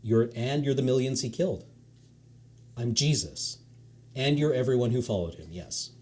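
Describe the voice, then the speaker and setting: A man's voice: medium pitch, normal speed, a little mellow, close to an everyday voice. A man reading the lines of a casual, patient male God who talks like a teacher. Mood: offhand. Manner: breezy and offhand, teacherly.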